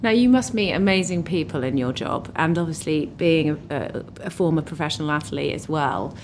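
A woman hosting a conversational interview, asking questions in an informal, curious and eager way. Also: fairly quick. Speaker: interviewer, host. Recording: faint low rumbling noise.

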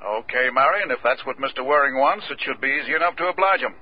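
A man's voice: mid-range voice, animated, quick pace, slightly rough. A man playing a smug, menacing henchman in a 1940s radio crime drama. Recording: muffled old radio recording.

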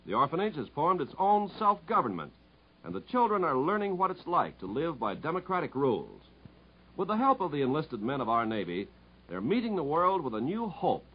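A man's voice: fairly high pitch, breathy edge. A man reading a formal, earnest scripted announcement in the manner of 1950s radio. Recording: muffled 1950s radio broadcast.

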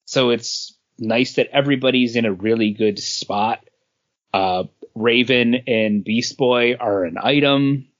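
Male comics podcast co-host, unhurried, halting delivery, dull lo-fi audio. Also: mid-range voice. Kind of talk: casual chat reviewing comics. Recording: dull lo-fi sound.

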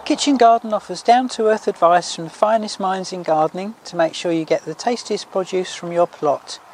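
A woman's voice: lowish voice, normal speed, darkish tone, a little hiss or hum. A woman reading a scripted promotional voice-over as an advert announcer.

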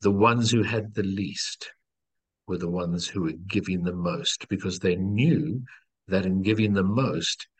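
A middle-aged man from South Africa with a low, husky voice speaks as a life coach in conversation. He talks in an earnest, encouraging way and leans on repeated phrases. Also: a little slow. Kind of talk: reflective storytelling in conversation.

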